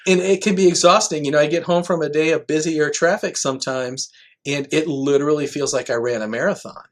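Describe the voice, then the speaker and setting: A man speaking as an air traffic control manager in a podcast interview, explaining things conversationally with everyday examples. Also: medium pitch.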